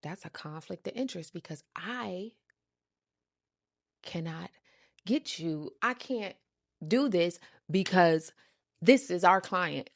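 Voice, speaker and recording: Woman, American accent, slangy casual storytelling, gravelly fry, slightly low voice, animated and sassy. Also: slow pace, long pauses, dry recording, clean studio sound. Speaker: storytime show host. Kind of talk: casual storytelling, acting out quoted conversations.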